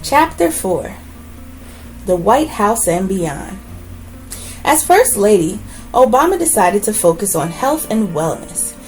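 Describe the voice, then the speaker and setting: A woman hosts a children's book read-aloud in a mid-pitched, very animated voice. She phrases deliberately and leans on key words, adding short asides as she goes. Faint music plays behind her. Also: low-quality recording. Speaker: read-aloud host reading a children's biography.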